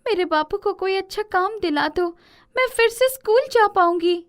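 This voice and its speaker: Casting: innocent village girl, very high voice, earnest, eager, inquisitive storybook character. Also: expressive, moderate pace. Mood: pleading.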